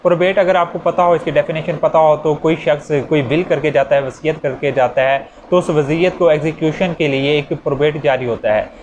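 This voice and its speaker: A male lecturer with a Pakistani accent and a voice pitched a little high explains things didactically. He restates each point in plainer terms and works through examples. The recording is dull and lo-fi, with faint noise behind him. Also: steady tone, a little fast, hardly any pauses, darkish tone. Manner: didactic, restating key points in simpler words. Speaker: law lecturer.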